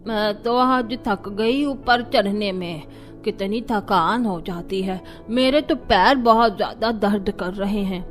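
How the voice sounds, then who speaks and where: A woman's voice: mid-range voice, soft background music, natural voice. A woman playing a demanding, complaining elderly grandmother-in-law in a cartoon family drama, with a grumbling manner. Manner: slow, grumbling.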